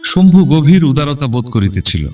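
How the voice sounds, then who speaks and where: A man's voice: medium pitch, sing-song, normal speed, a little mellow, far from the mic. A man reading literary narration in a dramatic, scripted audio-story style. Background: background instrumental music.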